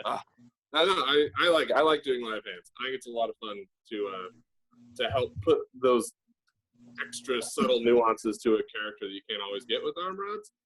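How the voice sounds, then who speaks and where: A man's voice: mid-range voice, lively intonation, a little fast, fairly bright tone, poor audio. A man talking casually on a group call in an agreeable, slightly hesitant way. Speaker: puppeteer. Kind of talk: casual chat. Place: remote virtual call.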